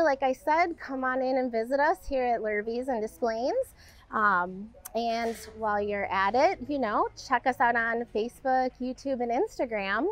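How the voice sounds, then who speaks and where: A woman in her thirties, a garden center staff member, chatting casually and upbeat with lots of "um" fillers as she walks through the plants outdoors. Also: on the high side.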